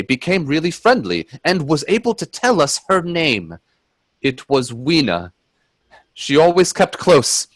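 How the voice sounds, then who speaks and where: Casting: male actor as cautious Edwardian time traveller, earnest, slightly high animated voice, close dry sound, radio drama. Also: no room sound. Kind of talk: radio play acting and narration.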